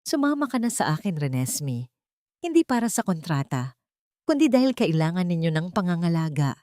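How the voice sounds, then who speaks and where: A woman's voice: lowish voice, very animated, a little fast, dark tone. A woman puts on a billionaire man from a romantic audio drama, possessive and used to command, with worry underneath. The accent is Filipino. The sound is dry, without echo. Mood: softened, pleading. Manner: stern, urgent.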